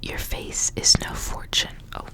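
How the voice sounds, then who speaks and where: A woman whispering as an ASMR host, reading stories aloud with casual asides in a hushed, unvoiced delivery. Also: a little slow. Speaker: ASMR reader, host of the channel.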